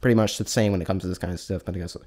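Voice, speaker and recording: A man's voice: deep voice, fairly even intonation, rapid-fire, a little mellow. A man giving a casual, unscripted spoken review, rambling and thinking aloud in a self-deprecating way. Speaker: amateur vlog reviewer.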